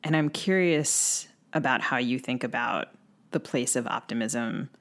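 Very deep, creaky-voiced woman host. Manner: measured, builds long careful questions. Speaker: podcast co-host. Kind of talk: thoughtful interview questioning.

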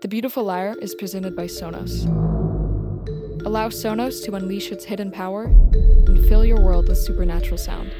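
A woman's voice: mid-range voice, normal speed. A woman reading a scripted sponsor message as an announcer. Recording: loud marimba music behind.

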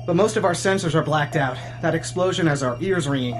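An actress playing a worried submarine crew officer in an audio drama, speaking in a tense, urgent manner. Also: female voice, low voice, brisk, over music, close to an everyday voice. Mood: tense, dismayed. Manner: urgent, tense, stammering under pressure.

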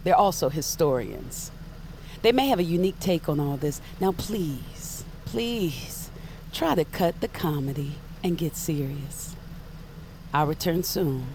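A woman's voice: low-pitched, expressive, a little slow, a little mellow, slight background noise. An actress playing a blunt, protective witch mentor in an audio drama, with a dry, earnest manner. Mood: firm, concerned.

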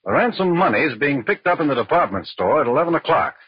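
A firm, businesslike FBI chief in an old radio play. His voice is very husky, mid-pitched and animated. The recording is dull and dry. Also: quick pace, no room sound, exaggerated voice. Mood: methodical. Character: brisk, authoritative male FBI agent in charge. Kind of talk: 1940s radio crime drama acting.

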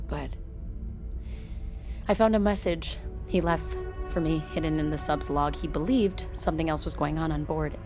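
An actress as a female submersible pilot in an audio drama, defiant but uneasy. Her voice sits a little low and she talks bluntly, breaking into hesitations. Music runs clearly behind her. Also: natural voice. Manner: halting, questioning, blunt. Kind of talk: audio fiction acting.

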